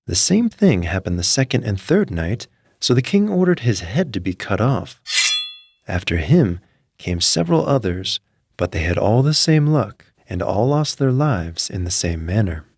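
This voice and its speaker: A male narrator tells a fairy tale with measured delivery. His voice sits fairly low with a husky grain, and it rises and falls expressively. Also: very animated, a little mellow, no room sound.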